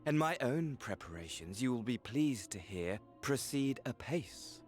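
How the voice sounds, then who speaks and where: An actor playing a flamboyant, gloating villain in a video game, with florid, courtly mockery. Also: male voice, mid-range voice, expressive, soft background music, lightly acted. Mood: mocking flattery. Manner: florid, grandiose, drawn-out phrasing. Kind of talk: video game cutscene acting.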